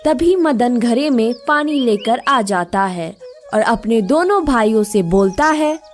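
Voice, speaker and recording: A woman's voice: on the high side, animated, unhurried, faint music behind. A woman narrating a village cartoon story in a scripted storytelling manner.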